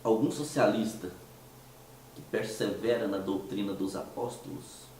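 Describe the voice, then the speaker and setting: A man from Minas Gerais with a Mineiro Brazilian Portuguese accent, hoarse from a cold, hosting a conversational commentary monologue with hesitant restarts and rhetorical questions. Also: on the low side, measured pace, darkish tone, very echoey, far from the mic, slight background noise. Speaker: host of a commentary show.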